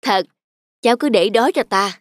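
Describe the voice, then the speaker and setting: Woman playing a high-voiced, kind-hearted cartoon drifter. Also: normal speed, lightly acted. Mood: tender. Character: kind-hearted, sheepish homeless man, a drifter. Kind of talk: cartoon voice acting. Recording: music behind the voice.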